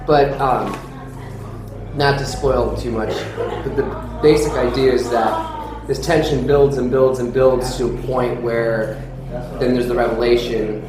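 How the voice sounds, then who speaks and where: Guy running a script read, mid pitch, super echoey room, music loud behind him. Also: steady tone, measured pace. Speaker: filmmaker leading a table read. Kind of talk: reading screenplay directions, casual discussion.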